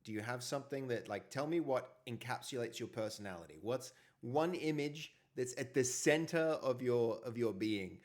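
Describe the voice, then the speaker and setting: A man hosting a casual live stream, talking with a big, loud voice and rambling, repeating himself. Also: mid-range voice, moderate pace, hardly any pauses.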